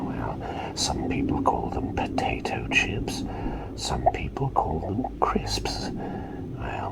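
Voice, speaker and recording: A man playing a gentle, courteous plague doctor in a whispered ASMR roleplay, breathy and soothing, close to the microphone. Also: heavily acted, low-quality recording. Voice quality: breathy whisper with low gravelly creak. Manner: soothing, unhurried, quaintly polite. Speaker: ASMR performer.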